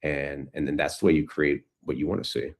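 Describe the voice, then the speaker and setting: Low-voiced man, halting and reflective. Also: level tone. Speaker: guest speaker, community founder. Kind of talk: casual conversational talk on a video call. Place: online video call.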